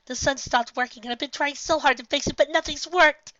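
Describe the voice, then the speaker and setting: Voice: high-pitched, fast talker, bright, forceful tone, dry recording, highs cut off, voice pushed up. A reader voicing a frightened young filly unicorn who pleads that she is trying to fix things. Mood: pleading.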